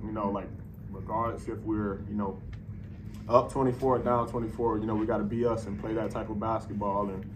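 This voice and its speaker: A man's voice: fairly low pitch, brisk, noisy background. A young man in his twenties answering reporters at a postgame press conference, speaking loosely with many fillers into a table mic. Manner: relaxed, many "you know" fillers. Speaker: professional basketball player. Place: arena press room. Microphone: table mic on stand.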